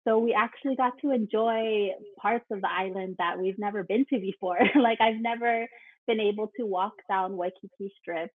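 A woman's voice: medium pitch, moderate pace, no room sound, narrow, tinny audio. Woman from Hawaii on thin call audio. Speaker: podcast guest. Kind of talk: casual interview chat.

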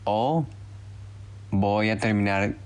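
A man's voice: fairly low pitch, drawn-out words, clear, resonant tone. A man hosting a podcast, speaking Spanish as his native language, talking to listeners in an earnest, encouraging and personal way. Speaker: podcast host. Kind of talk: casual podcast monologue.

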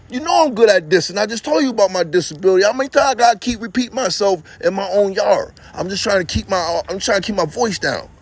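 A man with a high voice rambles on in a streetwise, boastful way, looping back over the same phrases. He is out in his yard talking into a phone, with a vehicle heard now and then. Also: breathy edge. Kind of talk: rambling monologue to camera.

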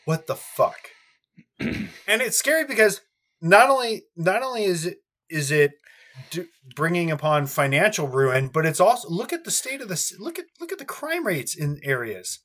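A man's voice: on the high side, very animated, moderate pace, fairly bright tone. A man in casual podcast chat, ranting heatedly with frequent swearing.

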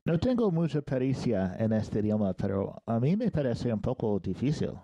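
An older man hosting a casual interview, friendly and gently teasing, asking guiding questions. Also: medium pitch, very animated, normal speed, no room sound. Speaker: podcast host.

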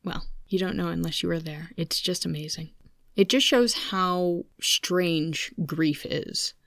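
Low-pitched American woman, dry close sound. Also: clear, resonant tone, dry recording. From United States. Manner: conversational, candid, introspective. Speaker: podcast host. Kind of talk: reflective personal storytelling.

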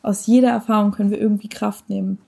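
A woman's voice: medium pitch, level tone, quick pace, vocal fry, warm tone, breathy edge. A young woman talking casually as a podcast guest, slangy and correcting her own wording as she goes.

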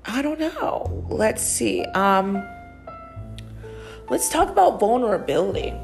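A woman's voice: medium pitch, sing-song, slow pace, vocal fry. A woman in her thirties talks off the cuff on her own podcast, loose and chatty and sprinkled with swearing. Her nose is stuffed up, which gives her voice a slightly nasal edge. Faint music sits underneath. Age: 30s. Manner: rambling, chatty, frequent swearing and laughs. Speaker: podcast host and psychic medium. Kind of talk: casual solo podcast monologue.

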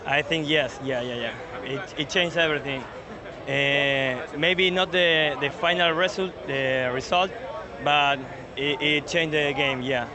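Slow-talking Argentinian man in a crowd. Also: a little high, fairly even intonation. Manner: halting, searches for words. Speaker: rugby fan interviewed. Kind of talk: hesitant street interview. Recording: loud crowd outdoors.

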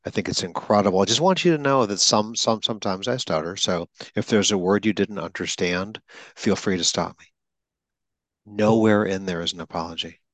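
Deep-voiced man coaching on stuttering. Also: a little fast, a bit bright, dry recording. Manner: emphatic, gives vivid examples and acts out lines. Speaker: interviewer, speech coach who stutters. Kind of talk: coaching conversation.

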